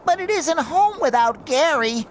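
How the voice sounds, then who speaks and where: An actor playing a cheerful, naive, eager young sea sponge in a cartoon video game, earnest and excitable. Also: male voice, extremely high pitch, measured pace. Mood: happy excitement. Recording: loud music behind voice.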